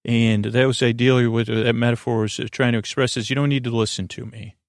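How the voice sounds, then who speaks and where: A man hosting a bedtime podcast, talking in a meandering, halting way with stammers and tangents, meant to soothe. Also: medium pitch, level tone, dry recording, clean studio sound. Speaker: podcast host.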